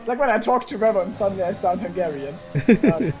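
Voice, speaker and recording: A woman's voice: medium pitch, lively intonation, fairly quick. A woman co-commentating an online racing league in a loose, chatty, self-mocking way, often laughing. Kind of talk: casual race commentary chat.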